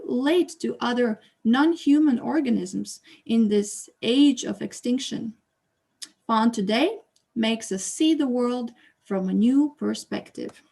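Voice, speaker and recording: A woman hosts an online art opening over a video call. Her voice sits a little high and carries a gravelly fry. She reads smoothly from a prepared statement, then slows into hesitant fillers when she speaks off the cuff. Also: darkish tone. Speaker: exhibition host and curator.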